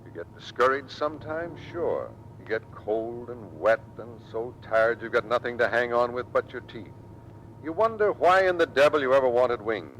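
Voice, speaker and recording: An actor playing a tough, loyal American Navy squadron commander in old-time radio drama style, earnest and commanding. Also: male voice, mid-range voice, lively intonation, moderate pace, fairly bright tone, slight background noise, natural voice, poor audio.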